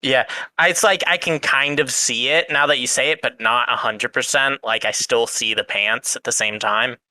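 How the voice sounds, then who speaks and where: The agreeable third guy on a podcast panel, clear and bright, tentatively offering his take. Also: mid-range voice, moderate pace, no room sound. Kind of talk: casual chat.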